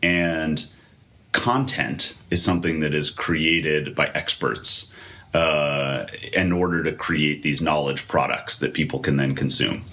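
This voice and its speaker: Very deep-voiced man, measured. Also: steady tone, pushed and bright. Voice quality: deep, sonorous voice. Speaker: podcast guest. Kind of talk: conversational interview.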